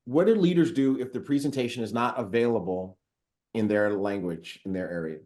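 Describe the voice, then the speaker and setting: A man's voice: on the low side, brisk, dark tone. A man hosting a live online training call, upbeat and chatty, reading viewer comments aloud with frequent fillers. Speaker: host of a company training call. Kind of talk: casual live webinar hosting.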